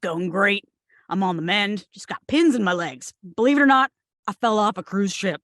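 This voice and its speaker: A woman playing an odd, blunt tomboy in her thirties with a deadpan, clipped manner, in a comedy table read. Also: medium pitch, moderate pace, dry recording, clean studio sound, pitched below the performer's own voice, lightly acted. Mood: deadpan, tough.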